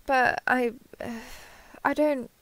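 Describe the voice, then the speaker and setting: A woman's voice: high-pitched, fairly even intonation, drawn-out words, a bit bright, no echo, exaggerated voice. A woman playing a kindhearted, awkward, easily flustered human in an intimate roleplay audio, hesitant and stammering as she asks curious questions. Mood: flustered, shy. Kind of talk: intimate roleplay audio acting, one side of a conversation.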